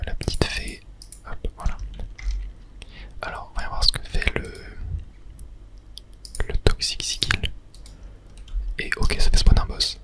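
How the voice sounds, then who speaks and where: Breathy whisper, ASMR gameplay commentary, unvoiced, murmured thinking aloud, streamer. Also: rough recording.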